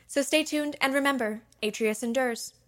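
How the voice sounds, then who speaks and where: An actress reading the lines of a media director, making formal announcements in a recording take. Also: female voice, high-pitched, normal speed, background noise, close to an everyday voice. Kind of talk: audio drama recording take.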